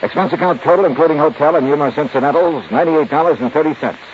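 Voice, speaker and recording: An actor with an American accent playing a cool, shrewd investigator who narrates a 1950s radio drama. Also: male voice, fairly high pitch, very fast, lightly acted. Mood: dry narration. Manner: clipped, confident, probing. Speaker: lead detective and narrator. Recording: muffled, faintly noisy 1950s radio recording.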